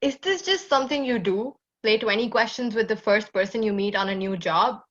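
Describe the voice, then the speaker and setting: A woman's voice: medium pitch, a little fast, dull audio, natural voice. A woman playing a sardonic, impatient masked starship crew member in a sci-fi audio drama, with a dry, sarcastic delivery.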